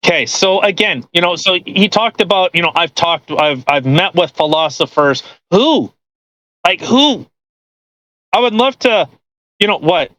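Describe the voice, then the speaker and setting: Man, podcast co-host, forceful bright tone, sarcastic, crude, mock-dramatic reading, heckling. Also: sits high, animated, unhurried, no room sound, rough recording.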